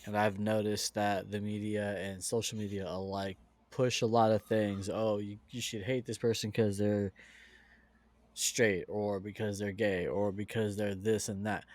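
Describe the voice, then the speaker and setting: A man's voice: lowish voice, level tone, unhurried, dry recording. An American man co-hosting a podcast, rambling casually with lots of fillers and restarts. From United States. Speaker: podcast co-host.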